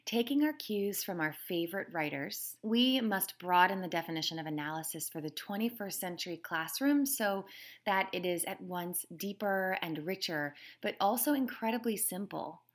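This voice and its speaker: A woman reading her own nonfiction book aloud as narration, with measured, deliberate phrasing. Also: medium pitch, animated. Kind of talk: scripted read-aloud narration.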